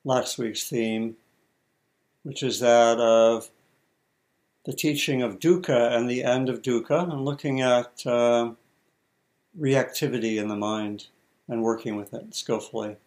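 A man leads a guided meditation as the teacher. He speaks in short, measured phrases at a middling pitch, leaving deliberate pauses as he gives each instruction. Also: fairly even intonation, darkish tone.

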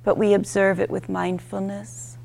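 A woman teaching a guided meditation, speaking in gentle, unhurried instruction with short, spaced phrases. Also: mid-range voice, little pitch movement, creaky, rough recording.

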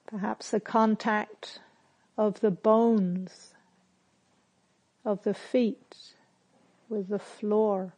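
A woman leading a guided meditation as a teacher, speaking gently and with measured, spare phrases separated by long silences. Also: medium pitch, fairly even intonation. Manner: gentle, measured, softly instructive.

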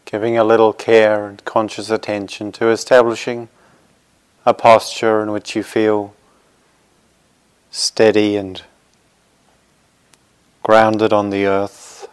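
A man with a fairly low, very smooth voice leads a guided meditation. He speaks gently, in short phrases with plenty of space between them. His manner is reassuring, as a teacher settling students into practice. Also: completely flat delivery, slow, pauses often, rough recording.

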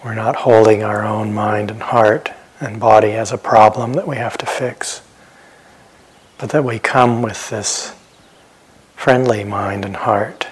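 A man giving guided meditation instructions as a teacher, speaking gently and unhurriedly with pauses and phrases that trail off softly. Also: fairly low pitch, steady tone, breathy edge. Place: meditation retreat center.

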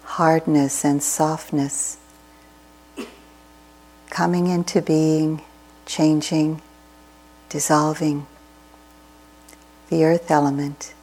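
A woman teaching a guided meditation in short, measured, soothing phrases with long pauses between them. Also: low-pitched, level tone, darkish tone, low-quality recording.

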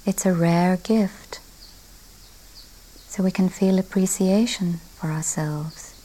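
A woman meditation teacher leading a guided meditation in a gentle, unhurried way with long pauses. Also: on the low side, fairly even intonation. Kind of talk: guided loving-kindness meditation.

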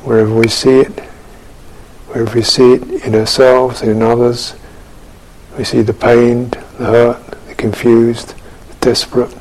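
A man leads a guided meditation in a husky voice. His pitch stays flat and his pace is very slow. He is measured and contemplative, setting out short phrases with long silences between them. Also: poor audio. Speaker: Buddhist monk teaching meditation. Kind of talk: guided meditation and dharma talk.

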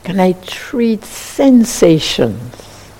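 A woman guides a meditation in a dark, mellow voice that sits a little low. She speaks very slowly, offering short, sparse phrases and leaving long pauses between them. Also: very animated, husky. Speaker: meditation teacher.

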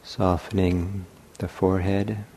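A man with a deep, mellow voice guides a meditation. He speaks very gently, in short phrases separated by long pauses. His delivery is markedly slow and unhurried, like a teacher leading a practice. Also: little pitch movement, husky.

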